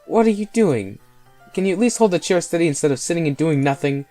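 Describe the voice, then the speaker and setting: Stubborn, easily flustered game schoolgirl, played by a man, pitched up, quick to snap and accuse. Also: animated, warm tone, natural voice. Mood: bossy, impatient. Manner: indignant, snappy, accusing. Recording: voice over game music.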